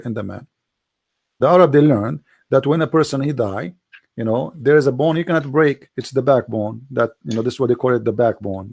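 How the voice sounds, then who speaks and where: A man's voice: mid-range voice, creaky, a little mellow, husky. A middle-aged man whose first language is Arabic hosts a heated debate, talking in a sarcastic, mocking way and often saying "my friend". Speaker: debate host.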